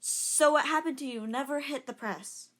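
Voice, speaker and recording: A woman's voice: high-pitched, moderate pace, a bit bright. A woman playing a young journalist, earnest and hesitant, in an amateur audio drama. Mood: curious.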